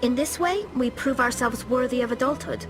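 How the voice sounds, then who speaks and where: An actress plays a young alien woman in a sci-fi game. Her voice sits fairly high and she explains things earnestly, in formal phrases. Prominent music plays under the dialogue. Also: a little fast, close to an everyday voice. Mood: earnest, explaining. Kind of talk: video game voice acting.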